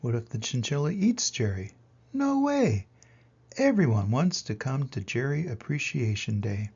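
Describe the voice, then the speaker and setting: Older guy reading a kids book, raspy and creaky, dramatic pauses, bit of a dull recording. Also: medium pitch. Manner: expressive, dramatic pauses and emphasis. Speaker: reader of children's picture books. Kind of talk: animated storybook read-aloud.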